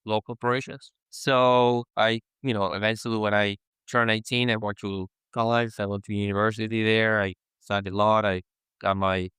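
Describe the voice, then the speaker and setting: Guy chatting easy as a guest lawyer, really smooth clear voice, lowish, dry sound. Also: little pitch movement, normal speed, fairly bright tone, no echo. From Argentina. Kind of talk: casual interview talk.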